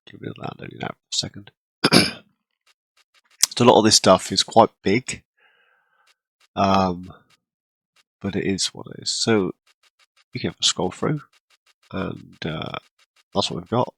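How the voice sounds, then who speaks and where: A man hosting a gaming channel, congested from a cold and clearing his throat often, rambling casually through his gameplay. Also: sits low, a little slow, pauses often, fairly bright tone, no echo, poor audio. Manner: rambling, self-deprecating, frequent throat clearing.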